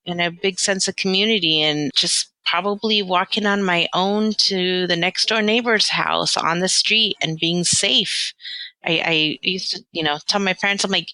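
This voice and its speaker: A woman guest on a podcast, talking in a loose, chatty way with plenty of fillers, restarts and laughs. Her voice is bright and forceful, though pitched a little low, and the recording is dry and close. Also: dry recording, poor audio. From born in London, raised in the American South and Southern California. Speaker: physical therapist, interview guest. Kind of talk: conversational interview.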